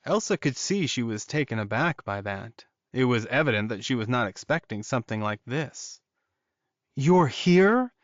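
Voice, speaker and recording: A man's voice: mid-range voice, lively intonation, moderate pace, hardly any pauses, fairly bright tone, no echo. A man narrating scripted fiction as an audiobook reader, in a slightly muffled recording.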